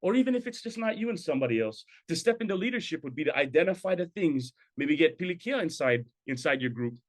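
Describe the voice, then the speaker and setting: A man's voice: a little high, expressive, quick pace. A middle-aged man presents a talk over a video call, speaking conversationally and checking in with tag questions. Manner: conversational, tag questions, sprinkles in Hawaiian words. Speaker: program presenter. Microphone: video call webcam.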